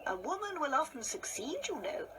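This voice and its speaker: A woman playing a genteel older married woman in a radio play, with a theatrical, halting, wistful delivery. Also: high-pitched, big pitch swings. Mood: haughty, insistent. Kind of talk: radio play monologue acting. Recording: distant mic with faint background noise.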